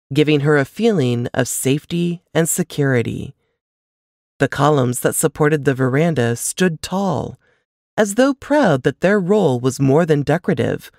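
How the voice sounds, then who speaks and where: A woman narrates fiction in an audiobook style. Her delivery is measured and expressive, with a very animated melody that rises and falls through the phrases. The sound is dry, with little room around the voice. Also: sits low, no room sound. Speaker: author reading aloud from a novel.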